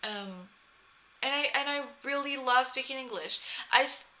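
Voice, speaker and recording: A young woman with a French accent, a native French speaker, chatting casually to camera with many pauses and restarts. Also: high voice, sharp tone. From France. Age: young adult. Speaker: vlogger, former exchange student.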